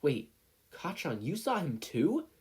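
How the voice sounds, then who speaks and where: A narrator doing an earnest, high-voiced little boy. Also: very animated, slow, a little mellow, slightly rough, heavily acted. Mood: pleading, indignant. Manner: earnest, indignant, insistent. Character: earnest, stubborn, sensitive little preschool boy.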